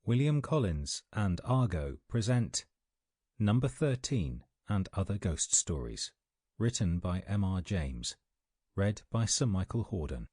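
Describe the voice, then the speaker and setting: A man's voice: low voice, sing-song, unhurried, a little mellow, husky, no echo, clean studio sound. A man reading a scripted audiobook title announcement.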